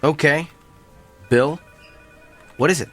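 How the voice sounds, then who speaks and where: A man's voice: on the high side, slow, a bit bright, faint music behind. An actor playing an emotionally detached male doctor in a radio drama, speaking in a matter-of-fact, tactless way. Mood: defensive, insistent.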